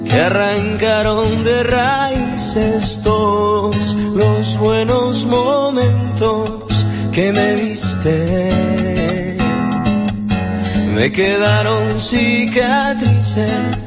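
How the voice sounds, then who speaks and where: A woman singing a slow, mournful ballad, drawing out the lines. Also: medium pitch, steady tone, in a large hall. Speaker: singer. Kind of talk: slow sung ballad with guitar. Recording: dull lo-fi radio recording. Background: loud guitar accompaniment.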